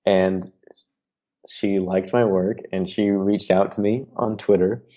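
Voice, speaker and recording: Man, very low voice, earnest, philosophical, podcast guest, casual conversation. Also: steady tone, slow, warm tone. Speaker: guest artist.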